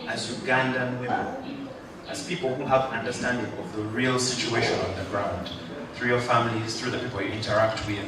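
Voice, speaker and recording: A man speaks at a graduation ceremony, rousing his listeners and slipping in jokes between the lines. His voice sits at an ordinary middle pitch. He is recorded from a distance in a very echoey large hall, with steady noise underneath. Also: fairly quick. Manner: rousing, joking, call-and-response with the audience. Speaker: guest speaker. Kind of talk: motivational graduation speech. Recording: echoey hall, mic far off, background noise.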